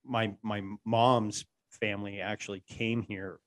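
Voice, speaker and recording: A man with a lowish voice hosts a relaxed podcast conversation, wandering from thought to thought and cursing casually. The sound is dry and close, with no room around it. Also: a little slow, no echo. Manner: rambling, swears freely, trails off.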